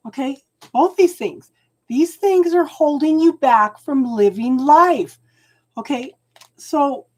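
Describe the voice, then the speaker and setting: A woman doing a live tarot reading at home, talking slowly and soothingly, often checking in with "okay?", and clearing her throat as she loses her voice. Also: on the high side. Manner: slow, soothing, keeps adding "okay?". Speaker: tarot reader.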